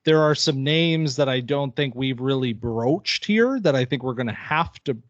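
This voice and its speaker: A man's voice: medium pitch, expressive, moderate pace, no echo, lo-fi sound. A man co-hosting a casual sports podcast, chatty and upbeat, often restarting his phrases. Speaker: podcast co-host.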